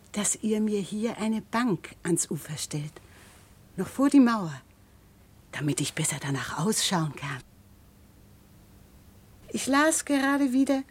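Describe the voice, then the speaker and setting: An actress playing a wistful boat-rental woman in a lyrical radio drama, speaking in dreamy, measured phrases. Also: mid-range voice, a little mellow. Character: dreamy, wistful woman who rents out boats.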